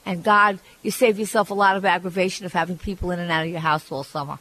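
A woman's voice: on the low side, fairly even intonation, quick pace, a bit bright, rough recording. A woman hosting an advice radio show, talking frankly and chattily, often restarting her sentences. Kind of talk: advice call-in radio talk.

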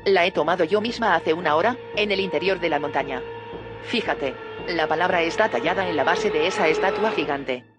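A woman's voice: fairly low pitch, a little fast, over music, natural voice. An actress playing an earnest, cautious female researcher in an audio drama, explaining in a serious tone. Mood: earnest, insistent.

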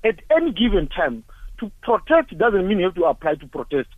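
A man's voice: sits high, very animated, a little fast, dry recording. A man in his forties from Zimbabwe, calling in to a talk show and speaking with anger through repeated rhetorical questions. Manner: impassioned, angry, repetitive rhetorical questions. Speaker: caller. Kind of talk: emotional call-in comments. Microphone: phone. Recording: tinny phone line.

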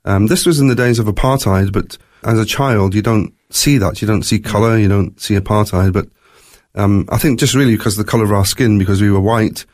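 A man's voice: lowish voice, steady tone, fairly quick, darkish tone. A man with a faint Scottish accent telling his personal story as an interview guest, in a reflective, conversational way. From born in Scotland, moved to South Africa at three.

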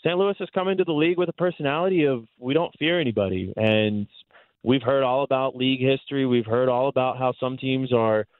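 A man's voice: medium pitch, fairly quick, no room sound, narrow, tinny audio. Man giving conversational soccer analysis, dry close sound. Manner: articulate, talks in long explanatory runs. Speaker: sports play-by-play announcer, guest analyst. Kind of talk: conversational soccer analysis on a sports talk show.

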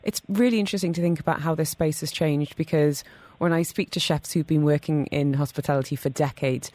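A woman's voice: low-pitched, brisk, a little mellow, no echo. A woman hosting a radio food show, interviewing guests in an enthusiastic, chatty way in a studio.

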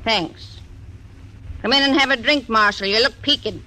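A gracious frontier widow. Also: female voice, a little high, unhurried, pushed and bright, rough recording. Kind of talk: 1940s radio acting. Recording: old radio recording, faint hiss.

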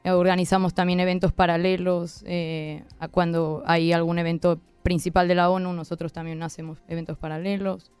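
A woman in her thirties from Argentina, with an accent mixing Argentine and Spanish, explaining her work as a guest lawyer in measured, hesitant answers. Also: lowish voice, little pitch movement, a little mellow, clear, resonant tone, no echo, faint music behind. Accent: mixed Argentine and Spanish. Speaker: interview guest, human rights lawyer.

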